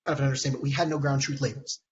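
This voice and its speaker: A man in his thirties, hoarse from a cold, presenting research slides over a video call in a halting way, with many ums and restarts. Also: medium pitch, level tone, slight background noise. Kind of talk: technical conference talk. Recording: dull lo-fi call audio.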